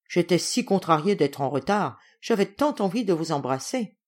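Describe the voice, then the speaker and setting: A woman's voice: medium pitch, very animated, normal speed, a little mellow, pitched above the performer's own voice. A woman voicing a domineering middle-aged noblewoman, imperious and effusive, in a storybook reading. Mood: gushing. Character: domineering, gushing middle-aged Russian noblewoman, scolding and flattering.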